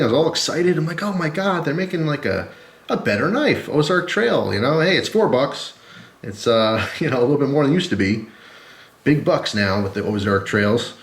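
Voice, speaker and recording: Male gear-review vlogger, mid-pitched and congested from a cold, chatty and informal, recorded in a reverberant room. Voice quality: congested, stuffy-headed. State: sick with a cold and flu. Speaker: host of a gear and knife review channel. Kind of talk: casual rambling vlog chat to camera. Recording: echoey, roomy sound.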